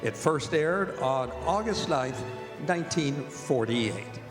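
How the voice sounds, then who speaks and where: A man's voice: on the high side, animated, slow pace. A man announcing in old-time radio style from a stage, reading program introductions and sponsor copy. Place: performing arts center stage. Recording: reverberant hall, live stage, music behind.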